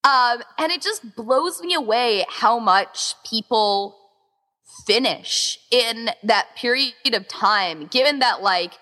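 A woman talks in a casual interview with a bright, highly animated voice. Her delivery is informal and emphatic, peppered with "like" as she gushes. The recording carries a long reverberant tail. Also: takes it slowly. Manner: informal, gushing, emphatic, says "like" constantly. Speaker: podcast interview guest, event organizer.

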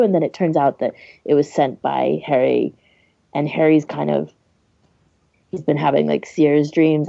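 Female podcaster, low and gravelly with heavy fry, rambling, searching for words, dry lo-fi sound. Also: mellow, no echo. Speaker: podcast host. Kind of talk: casual chat, giving recommendations.

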